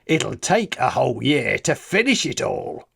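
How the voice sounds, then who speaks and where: A man's voice: mid-range voice, wildly swooping pitch, a little slow, vocal fry, fairly bright tone, breathy edge, exaggerated voice. A man voicing a scheming, bratty boy villain from a picture book, with a gloating, theatrical delivery. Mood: scoffing, gloating.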